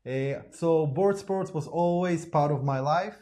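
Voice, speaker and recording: A young adult man from Israel, a podcast guest in casual chat, relaxed and halting, often repeating "yeah, yeah, yeah". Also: a little high.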